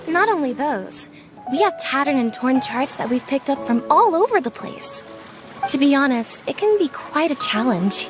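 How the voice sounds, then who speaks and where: An actress playing a studious young female ship's navigator who explains things politely and gently, in a game's dialogue. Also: high-pitched. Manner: soft, polite and explanatory, with light laughs. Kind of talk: game character dialogue. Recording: game audio with faint background music.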